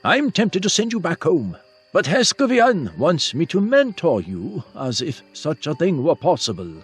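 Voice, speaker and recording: A man's voice: a little high, huge pitch swings, a little slow, breathy edge, voice pushed up, heavily acted. A man voicing a taunting, condescending older half-brother, a bully in a fantasy audiobook. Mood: scornful. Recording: music behind the voice.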